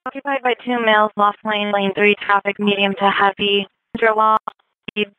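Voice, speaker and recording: A woman's voice: mid-range voice, fairly even intonation, moderate pace, pushed and bright. A woman working as a police radio dispatcher, repeating reports in clipped, procedural phrases over a scanner radio. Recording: noisy police scanner radio audio with clicks.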